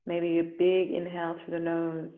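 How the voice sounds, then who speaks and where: A woman yoga instructor leading a guided relaxation meditation in a gentle, soothing, unhurried way with long pauses. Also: lowish voice, monotone. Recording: roomy echo, muffled phone-like sound.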